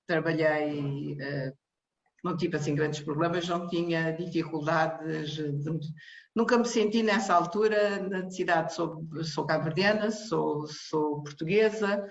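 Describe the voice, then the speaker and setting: A woman's voice: deep voice, unhurried. A woman from Cape Verde gives a personal testimony in a hesitant way, circling back with fillers and restarts. Her voice comes through muffled and dull, with a roomy echo around it. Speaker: technical director of a social care charity. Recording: echoey, muffled room sound.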